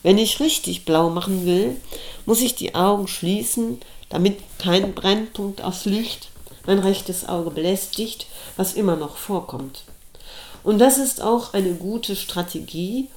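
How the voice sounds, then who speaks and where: A middle-aged woman reading her own reflective personal essay aloud, in a slow, measured and thoughtful way. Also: medium pitch, a little mellow. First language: German. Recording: roomy echo.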